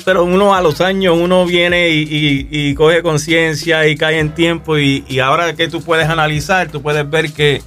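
A man with a Puerto Rican accent gives his testimony on a radio show, speaking in an easy, halting way full of fillers and restarts. Faint music plays softly beneath him. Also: a little high. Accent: Puerto Rican Spanish. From Puerto Rico. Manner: relaxed, hesitant, many fillers and restarts. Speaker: guest musician.

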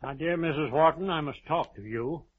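An actor playing a courteous, uneasy nineteenth-century general, formal and polite, in an old-time radio drama. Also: male voice, fairly high pitch, moderate pace, breathy edge. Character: courteous, uneasy gentleman army general of the 1870s. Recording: dull vintage radio recording.

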